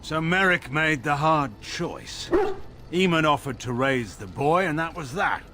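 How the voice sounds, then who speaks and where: A man playing a proud, weary, sardonic veteran warrior nobleman in a fantasy video game, speaking in a wry, grave and measured way with formal phrasing. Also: a little high, lively intonation, noisy background. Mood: reminiscing, earnest. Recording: studio voice with game music behind. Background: music.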